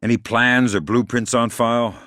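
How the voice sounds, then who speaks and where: A man playing a middle-aged, dry-witted, gruff covert operative in a video game, deadpan, sardonic and quietly menacing. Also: medium pitch, moderate pace, no echo, natural voice. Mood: focused, terse. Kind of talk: video game voice acting, isolated dialogue lines.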